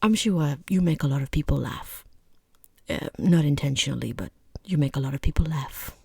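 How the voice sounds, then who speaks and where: A woman playing a rude, condescending female boss interviewing a job applicant in soft-spoken ASMR, half-whispered, with dry, sarcastic politeness. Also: sits low, normal speed, vocal fry, husky, no echo, exaggerated voice. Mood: dryly patronizing.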